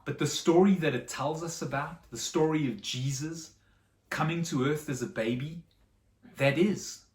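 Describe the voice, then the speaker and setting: Expressive woman storyteller in an echoey room. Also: low-pitched, normal speed. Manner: dramatic, simple explanations for children. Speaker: storyteller for a children's church show. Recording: echoey room, slightly distant mic.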